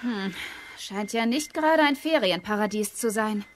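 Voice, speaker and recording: An actress playing a cool, dry-witted female spaceship crew member in an audio drama, brisk and businesslike. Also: medium pitch, animated, noisy background, lightly acted. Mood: dry, teasing.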